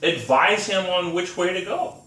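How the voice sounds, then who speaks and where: A man's voice: sits high, lively intonation, normal speed, sharp tone. A man in his thirties co-hosting a casual chat, rambling through stories and laughing often. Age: 30s. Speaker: podcast co-host. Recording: echoey room, mic at a distance.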